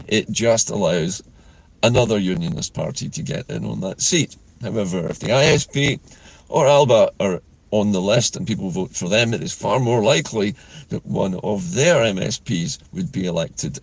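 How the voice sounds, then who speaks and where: A man, hoarse from a chest infection, hosting a measured, earnest political vlog from inside his car. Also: fairly low pitch, poor audio. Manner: measured, earnest, with frequent fillers. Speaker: political vlogger host. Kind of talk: talking-head political commentary.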